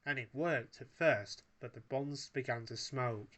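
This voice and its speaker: A man's voice: mid-range voice, measured pace, a bit bright. Man reading a story aloud. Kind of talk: read-aloud story narration.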